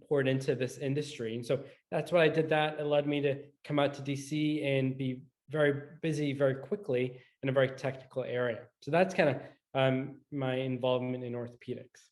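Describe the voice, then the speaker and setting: A middle-aged man originally from Scotland speaks as an interview guest. His pitch is mid-range and stays quite flat, and he talks in a measured, conversational way, pausing often as he forms his answers. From Scotland, moved to the US in high school. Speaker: guest, orthopedic surgeon.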